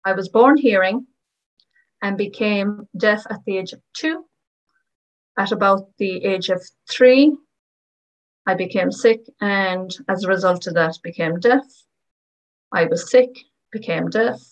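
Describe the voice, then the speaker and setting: A woman speaking as a voice-over interpreter, giving first-person testimonies of signers in short, measured phrases. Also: medium pitch, highs cut off. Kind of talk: voicing first-person testimonies in plain narration.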